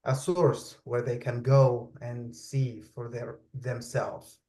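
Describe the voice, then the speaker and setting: A man, speaking English as an in an interview. He talks slowly and deliberately, pausing often to search for the right words, at a middle pitch for a man. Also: flat delivery, warm tone. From Saudi Arabia. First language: Arabic. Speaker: guest expert. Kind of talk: interview conversation.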